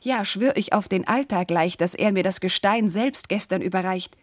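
An actress playing a devoted, anguished noble wife in a classical radio drama, declaiming formal verse with fervour. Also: female voice, medium pitch, normal speed, natural voice. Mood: distraught. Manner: declamatory, fervent, formal diction. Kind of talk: classical German stage verse in a radio play.

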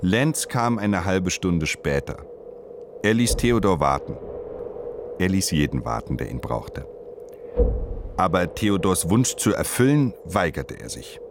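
A man narrating a radio play in a measured, deliberate way, reading literary prose. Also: sits low, very animated, background noise.

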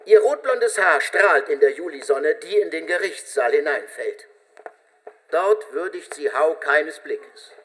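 Higher-voiced male radio drama narrator. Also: expressive, a bit bright, poor audio. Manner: measured, literary storytelling.

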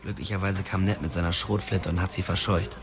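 An actor playing an eager, hot-headed young gang sidekick, blunt and pushy, in slangy radio drama dialogue. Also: male voice, low voice, level tone, a little fast. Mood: agitated. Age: young adult. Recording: music behind the voice.

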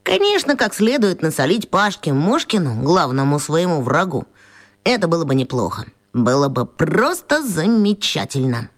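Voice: mid-range voice, big pitch swings, moderate pace. A performer in a radio play voicing a puzzled, mischievous schoolboy who grumbles and thinks aloud to himself expressively. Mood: scheming, pensive. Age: child.

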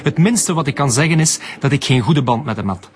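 An actor playing a cynical, bitter comedian son with a Flemish accent and a mocking, defiant manner in a radio drama. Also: male voice, mid-range voice, expressive, fairly quick, slight background noise, natural voice. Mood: bitter, scornful.

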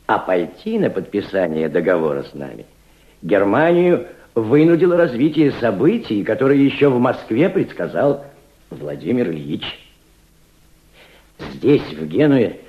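An actor playing a kindly, thoughtful diplomat in a Soviet radio play, with measured theatrical delivery. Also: male voice, medium pitch. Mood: earnest, pleased. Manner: theatrical, measured, addresses his companion gently. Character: kindly middle-aged Soviet diplomat, fatherly, thoughtful, resolute. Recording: muffled archival radio drama recording, music behind.

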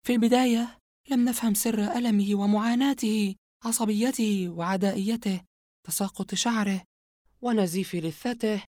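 A narrator voicing a mocking young woman in a horror audiobook, scornful and cold as she confesses a dark secret. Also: medium pitch, steady tone, moderate pace, no echo, clean studio sound, pitched above the performer's own voice, lightly acted. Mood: confessing.

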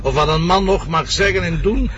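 An actor playing a sardonic, henpecked Flemish police inspector, grumbling wryly in a TV crime drama. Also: male voice, high voice, moderate pace, noisy background, natural voice. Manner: wry, grumbling.

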